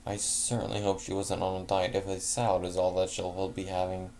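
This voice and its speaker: Man, casual story reader, gravelly dark voice, trips over words, faint hiss. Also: low-pitched, completely flat delivery, a little fast. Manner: stumbles and self-corrects, chatty asides. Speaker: fanfic reader. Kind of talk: casual first-person story reading.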